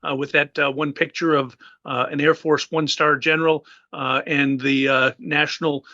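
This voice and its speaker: A man's voice: fairly high pitch, little pitch movement, normal speed, pushed and bright, no echo, highs cut off. A man in his fifties, a retired naval officer, giving an interview and recounting events with many fillers and restarts. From United States. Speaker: guest.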